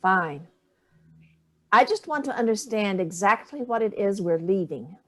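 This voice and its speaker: A woman's voice: mid-range voice, animated, measured pace. A middle-aged woman playing a sardonic, wounded older mother in a staged play reading, with clipped, cutting delivery. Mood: cool, pointed.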